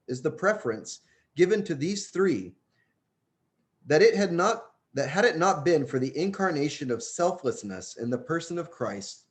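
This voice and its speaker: A man with a slightly high-set voice reads a religious book aloud. His delivery is earnest and emphatic, with lively rises and falls in pitch as he stresses key phrases. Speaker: audiobook reader.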